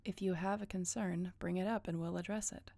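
Woman playing a low, gravelly female enforcer. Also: steady tone, a little fast, mellow, dry recording. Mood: cool, firm. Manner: controlled, curt, businesslike. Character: cool dutiful female enforcer of a werewolf alpha. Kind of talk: audio roleplay drama acting.

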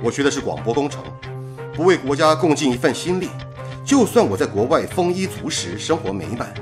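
An actor playing an earnest, patriotic radio engineer in a radio drama, speaking with measured conviction. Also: male voice, on the high side, big pitch swings. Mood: earnest, reflective. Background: music.

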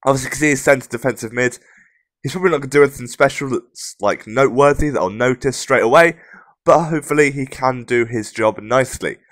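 A young man hosting casual game commentary, his voice croaky and rough from a sore throat, chatty and upbeat. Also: medium pitch, fast talker, a bit bright, dry recording. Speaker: gaming channel host.

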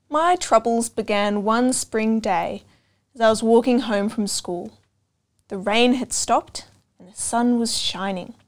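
A young woman reads a picture book aloud for children. Her voice is fairly high, and she goes slowly and expressively, with deliberate phrasing and questions to the listener. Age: young adult. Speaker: storytime reader. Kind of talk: children's storybook read-aloud. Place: indoor room with couch and bookshelves.

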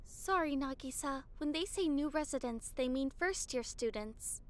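Woman voicing a teasing schoolgirl roommate, high voice, playful, fan dub. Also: lively intonation, normal speed. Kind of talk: amateur anime fan dub.

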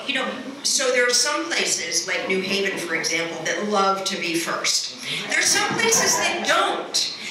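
A woman moderating a public panel discussion and talking with the speakers and audience. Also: on the high side. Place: conference room, indoors. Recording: very echoey room, distant mic.

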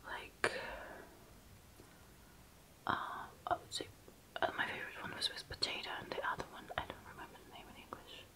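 A young woman from Russia with a Russian accent, whispering softly and closely as an ASMR host answering viewer questions, with pauses and fillers. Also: low-quality recording. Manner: soft whisper, close to the mic, halting with fillers. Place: small dark room indoors.

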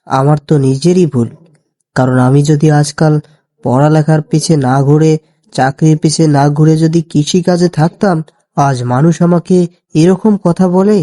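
A man acts out a downhearted young villager from a cartoon folk tale. His voice is fairly high and soft-edged, with an earnest, plaintive way of talking. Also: steady tone, normal speed, lightly acted. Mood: dejected. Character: Rafiq, dejected educated jobless village young man.

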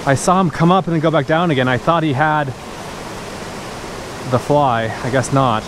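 A woman narrating casually to viewers while fishing a mountain creek, chatty and breaking into excited exclamations, recorded on a point-of-view action camera outdoors. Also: sits low. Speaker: fishing vlogger. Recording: loud rushing creek noise. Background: rushing stream water.

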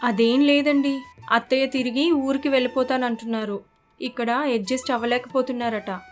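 Woman voicing a high-pitched, considerate young daughter-in-law. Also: steady tone, moderate pace, lightly acted. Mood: explaining. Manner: polite, coaxing. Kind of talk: animated moral story dialogue. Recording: faint music bed behind the voice.

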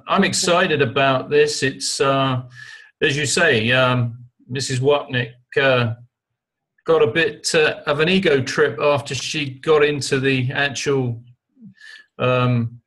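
An older Englishman talks slowly on a video call, pausing with ums and uhs. He speaks haltingly and chuckles now and then. His webcam picks up a plain home room. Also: mid-range voice, fairly bright tone, rough recording. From England. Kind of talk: casual group video call.

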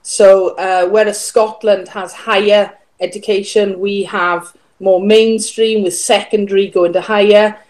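A woman's voice: medium pitch, fairly even intonation, unhurried, hardly any pauses, darkish tone. A middle-aged woman with a Welsh accent, a music teacher chatting as a guest on a video call from home. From Wales. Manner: chatty, friendly, explains at length. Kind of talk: casual interview chat. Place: home room, indoors. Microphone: video call webcam.

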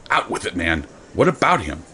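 An actor playing a stern, ruthless, sardonic official in an audio drama, blunt and gruff. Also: male voice, deep voice, sing-song, moderate pace, pushed and bright, full character voice.

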